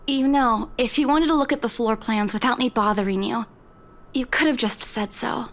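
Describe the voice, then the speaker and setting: A woman's voice: a little high, moderate pace, natural voice. A young woman voicing an eager, slightly insecure estate agent in a game, with a defensive, flustered way of talking. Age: young adult. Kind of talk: video game voice acting.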